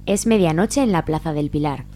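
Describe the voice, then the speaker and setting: A woman's voice: low voice, fairly quick, warm tone. A woman from reading scripted narration for a radio serial. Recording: faint hum and noise.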